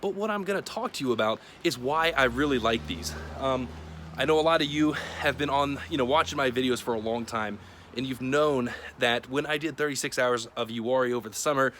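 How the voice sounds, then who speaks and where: A man's voice: mid-range voice, a little fast, a bit bright, a little hiss or hum. A man hosting a casual product review to camera in an informal, plain-spoken, conversational way. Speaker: video host.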